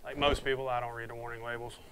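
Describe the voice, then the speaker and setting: A man's voice: medium pitch, flat delivery, fast, noisy background. A man, chatting casually and rambling a bit as he unboxes motorcycle gear on his vlog, recorded on a lapel mic in a small room at home. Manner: plainspoken, rambling, folksy. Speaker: motovlogger reviewing gear. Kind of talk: casual unboxing talk to camera. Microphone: wireless lapel mic.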